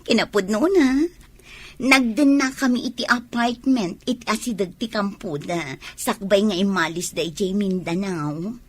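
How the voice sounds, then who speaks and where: A woman's voice: medium pitch, unhurried, slightly rough. A woman with an Ilocano accent playing a scheming, gloating young village woman in a radio comedy drama. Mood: gloating, gleeful.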